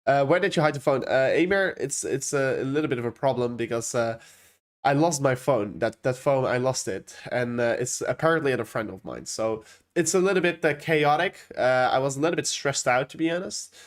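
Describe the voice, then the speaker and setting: A man's voice: mid-range voice, a little fast. A young man from the Netherlands with a Dutch accent, hosting a casual crypto livestream and rambling through viewer questions with many fillers.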